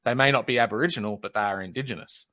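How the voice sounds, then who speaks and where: A man's voice: mid-range voice, very fast, sharp tone. A man, a podcast guest, talking through questions in a thoughtful, questioning way. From Australia. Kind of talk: casual discussion.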